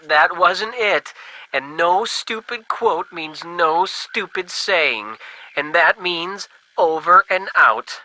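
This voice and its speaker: An actor playing a folksy, skeptical working-class ham radio buddy in a video game, talking in casual old-fashioned slang. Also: male voice, sits high, expressive, takes it slowly, sharp tone, slight background noise, full character voice, low-quality recording. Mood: wry.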